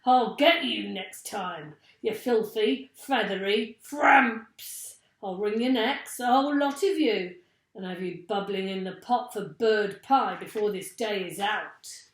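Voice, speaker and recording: A woman's voice: mid-range voice, slow, reverberant. A woman voicing a fierce, spiteful, bad-tempered man from a children's storybook, barking and menacing. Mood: furious.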